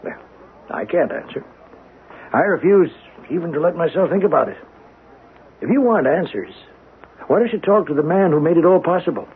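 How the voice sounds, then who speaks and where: A male actor playing a probing, cautioning project insider in a 1970s radio drama, speaking in a deliberate, needling way. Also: on the high side, sing-song. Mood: knowing, cautioning. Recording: tinny, noisy old radio recording.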